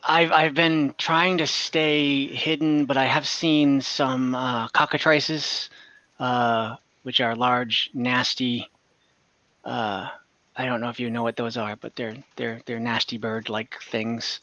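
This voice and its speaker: A man playing a weary, humble peasant traveler who speaks haltingly and apologetically in a tabletop game. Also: mid-range voice, level tone, bright, forceful tone, dry recording, lo-fi sound. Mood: halting, uneasy.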